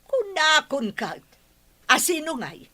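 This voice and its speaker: A woman's voice: sits high, sing-song, slow, sharp tone, heavily acted. A woman playing a nosy, suspicious village mother in an Ilocano radio drama, with an Ilocano Filipino accent and emphatic, prying manner. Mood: indignant.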